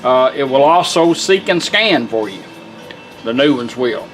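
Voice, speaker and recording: A man's voice: medium pitch, expressive, unhurried, poor audio. An American man giving a casual, plainspoken, step-by-step hands-on demonstration of a product. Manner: plainspoken, folksy step-by-step instruction. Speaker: product demonstrator for a radio restoration shop. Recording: music playing behind the voice. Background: guitar music from a radio.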